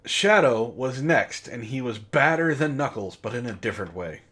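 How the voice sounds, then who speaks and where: Bright-voiced man reading aloud with mocking asides. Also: medium pitch, animated, moderate pace, slightly rough. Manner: mocking, breaks off for sardonic asides. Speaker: book club host and reader. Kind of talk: comic read-aloud of fan fiction with asides.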